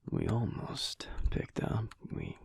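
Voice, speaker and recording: A man playing a laid-back, teasing alpha werewolf husband in an intimate audio roleplay, talking softly and slowly with dry humour in a low, gravelly voice. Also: dry recording, close to an everyday voice. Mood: relaxed, reflective. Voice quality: low, husky, gravelly whispery voice. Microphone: close microphone.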